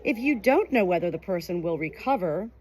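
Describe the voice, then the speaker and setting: A woman's voice: lowish voice, expressive, brisk, a little mellow. A woman reading a nonfiction book aloud as scripted audiobook narration. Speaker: audiobook reader.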